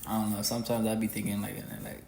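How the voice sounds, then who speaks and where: Flat, laid-back young Texan man. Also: on the low side, fast talker. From Houston, Texas. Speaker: podcast co-host. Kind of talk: casual podcast chat. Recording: echoey room with faint hum.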